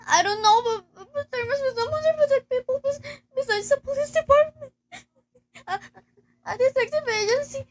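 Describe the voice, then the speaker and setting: A woman's voice: very high-pitched, moderate pace. A young woman playing a frantic, demanding, nervous married woman in a radio play, agitated, stammering and tearful. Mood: panicked, pleading. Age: teenager. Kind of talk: stage-like radio play acting.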